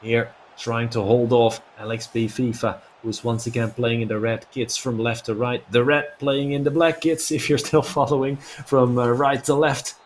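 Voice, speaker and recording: Man, esports commentator, mid-range pitch, live football video game play-by-play, noisy recording. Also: flat delivery, moderate pace, few pauses.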